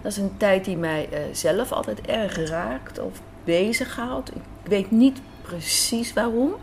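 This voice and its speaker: A Dutch-accented woman with a medium-pitched voice talks as an interview guest. She tells things conversationally, hesitating as she looks for words, with some café noise around her. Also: measured pace. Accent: Dutch, from the Netherlands. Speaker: radio drama writer and director, interview guest. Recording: clear café background noise.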